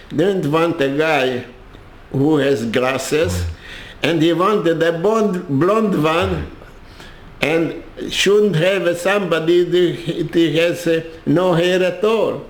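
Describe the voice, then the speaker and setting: An older man with a Hungarian accent tells his story very slowly, his voice sitting a bit high for a man. He stops and restarts his phrases again and again. The room around him is echoey. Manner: halting, with many restarts and repeated words. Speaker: interviewee. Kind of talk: personal storytelling.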